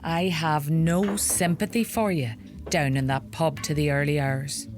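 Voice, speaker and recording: An actress playing a teasing, sharp-tongued middle-aged widowed mother in a radio play, with a Donegal Irish accent. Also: low voice, fairly even intonation, measured pace, faint music behind. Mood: scolding, teasing. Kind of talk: comic radio play acting.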